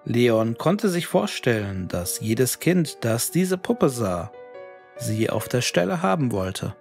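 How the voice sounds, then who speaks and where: A man reading a scripted horror story in a measured, deliberate way. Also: mid-range voice, big pitch swings, gravelly, a little mellow, faint music behind. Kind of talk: scripted creepypasta narration.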